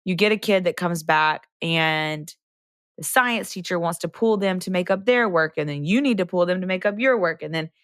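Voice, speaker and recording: Hoarse woman, chatty and casual. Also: lowish voice, normal speed, fairly bright tone, no room sound, clean studio sound. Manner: chatty, loose storytelling with many fillers. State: hoarse after the flu. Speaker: podcast co-host and middle school teacher. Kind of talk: casual chat.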